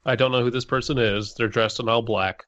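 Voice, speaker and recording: A man co-hosting a podcast, reading aloud and commenting casually with a deadpan manner. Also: mid-range voice, a little fast, a little hiss or hum. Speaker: podcast co-host. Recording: dry, slightly dull voice-call audio.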